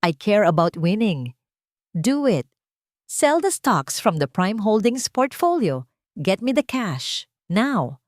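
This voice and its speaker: A woman storyteller voicing a proud, reckless businessman who gives forceful orders. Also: medium pitch, very animated, takes it slowly, dry recording, studio quality. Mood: stubborn, commanding. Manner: forceful, commanding. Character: proud, desperate, reckless male business boss.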